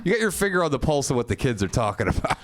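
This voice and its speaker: A man's voice: medium pitch, very animated, racing, noisy background. A man hosting a comedy podcast, chatting casually and teasing his guest. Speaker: podcast host.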